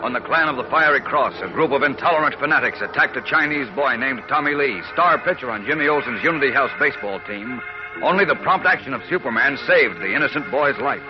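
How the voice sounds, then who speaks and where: A man's voice: mid-range voice, lively intonation, fast. A man giving urgent, declamatory narration for a 1940s radio serial. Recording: dull lo-fi 1940s radio recording. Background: music.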